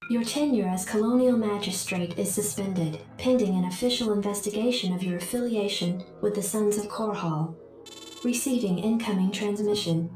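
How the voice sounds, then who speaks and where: A woman's voice: mid-range voice, moderate pace, a little mellow, natural voice. A woman acting an impassive military computer in a video game, making clipped, impersonal announcements. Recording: echoing game audio over music.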